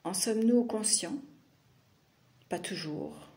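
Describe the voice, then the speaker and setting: Very slow, mellow woman guiding meditation. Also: mid-range voice. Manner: slow, soothing, with long pauses between short phrases. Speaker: yoga nidra teacher. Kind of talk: guided meditation.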